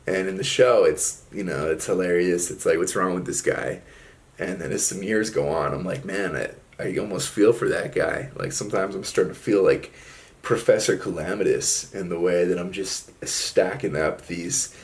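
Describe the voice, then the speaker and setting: Deep gravelly man musing casually. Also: moderate pace. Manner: laid-back, drawling, frequent fillers like "man" and "like". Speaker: solo video host. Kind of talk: casual reflective monologue.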